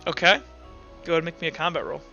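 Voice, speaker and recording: A man's voice: fairly high pitch, fairly even intonation, racing, creaky, bright, forceful tone. A man casually game mastering an online tabletop roleplay session, informally talking through rulings aloud. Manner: informal, talks through rulings aloud, acts out sound effects. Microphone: online voice chat microphone. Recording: dull, lo-fi voice chat with music behind.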